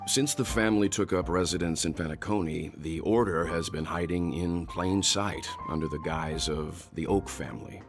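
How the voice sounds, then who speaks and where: An actor playing a composed, thoughtful mature gentleman in a video game, speaking in a measured, formal, articulate way. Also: a bass voice. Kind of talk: game voice acting, formal dialogue.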